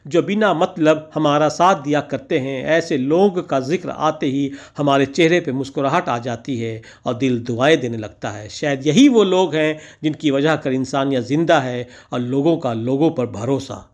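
A man's voice: mid-range voice, normal speed, few pauses. A man narrating a vlog as a scripted voice-over, with ornate, poetic and theatrical phrasing. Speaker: vlogger narrating.

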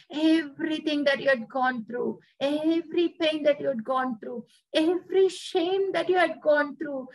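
A woman leading fervent spontaneous prayer at a live gathering, repeating her phrases and ending each with a soft, dropping call to the Lord. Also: poor audio. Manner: repetitive, ends phrases with soft low 'Lord Jesus'. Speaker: prayer minister.

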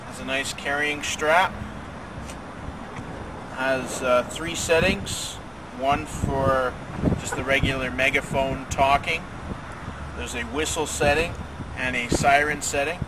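Slow-talking man over heavy outdoor noise. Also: medium pitch, recorded from a distance. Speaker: product demonstrator. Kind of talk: product demonstration. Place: outdoors in an open paved lot. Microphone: camera mic a few metres away.

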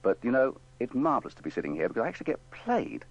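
A man's voice: medium pitch, huge pitch swings, fast talker. A man presenting classical music on the radio in a chatty style. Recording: dull band-limited radio broadcast recording.

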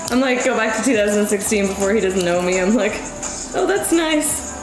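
Lively, teasing young woman in an echoey kitchen. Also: mid-range voice, a little fast, music in the background. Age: 20s. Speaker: co-host of a cooking livestream. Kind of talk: casual playful banter on a livestream. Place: indoor home kitchen.

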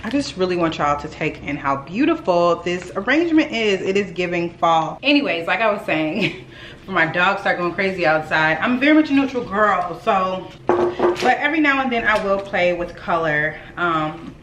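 A woman's voice: fairly low pitch, animated, moderate pace, a bit bright, a little hiss or hum. Young woman, casual chatty vlogger talking straight to her viewers, recorded in a roomy echoey house. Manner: chatty, addresses viewers as y'all. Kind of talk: casual vlog chat. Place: at home, echoey rooms. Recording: echoey home room, faint ambience.

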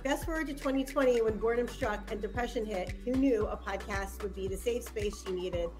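Bubbly woman podcast host, fairly high voice, light music under her. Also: a little fast. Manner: bubbly, enthusiastic, laughs easily. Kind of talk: casual podcast interview.

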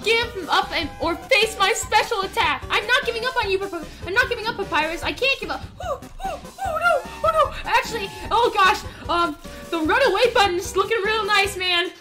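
A woman's voice: very high voice, expressive, fairly quick, over music. A young woman doing playful gameplay role-play commentary, dramatically pleading and exclaiming. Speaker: gaming video creator.

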